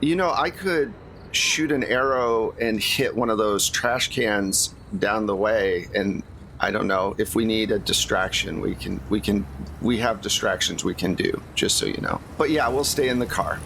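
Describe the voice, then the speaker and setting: A man chatting casually as a tabletop game player, halting and repeating words as he goes. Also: fairly low pitch, gravelly, a bit bright, a little hiss or hum.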